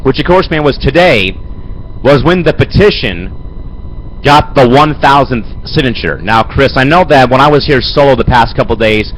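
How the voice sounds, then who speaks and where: Raspy, hoarse young man hosting. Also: medium pitch, expressive, moderate pace, a little hiss or hum, low-quality recording. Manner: rambling, fills with "man" and "Chris". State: hoarse with a head cold. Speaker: host. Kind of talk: amateur sports show hosting. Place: home studio.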